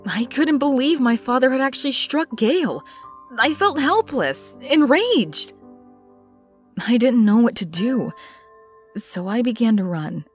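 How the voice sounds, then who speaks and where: A woman playing a young woman confiding her painful past in an expressive, reflective comic dub narration. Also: fairly high pitch, measured pace, slightly rough, faint music behind. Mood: distressed.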